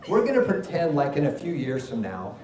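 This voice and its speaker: A man presenting a live seminar, joking with the audience and calling up volunteers in an energetic way. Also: medium pitch, brisk. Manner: energetic, joking, drives the crowd. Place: large hall with live audience. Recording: echoey hall with crowd noise.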